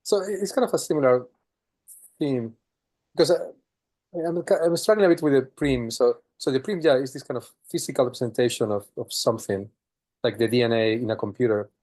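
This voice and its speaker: A man questioning a lecturer in class, halting and searching for words as he works through an idea. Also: medium pitch, darkish tone, dry recording. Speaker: student.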